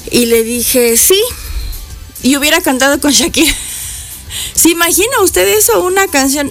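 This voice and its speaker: A woman's voice: on the high side, fairly bright tone. A middle-aged woman hosting a morning radio show in casual chat, talking playfully and straight to her listeners. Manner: chatty, playful, addresses listeners directly. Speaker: radio host. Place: radio studio. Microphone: studio microphone.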